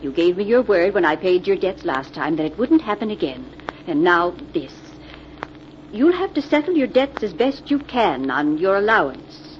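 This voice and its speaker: An actress playing a wealthy older widowed aunt, genteel and emphatic, in a 1940s radio drama. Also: female voice, on the low side, big pitch swings, normal speed. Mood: stern, disappointed. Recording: muffled old radio recording with hiss.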